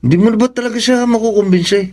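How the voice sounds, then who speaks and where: An actor with a Filipino accent playing an emotional, anxious young husband in a radio drama. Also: male voice, high-pitched, racing. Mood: curious, regretful. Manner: expressive, pleading.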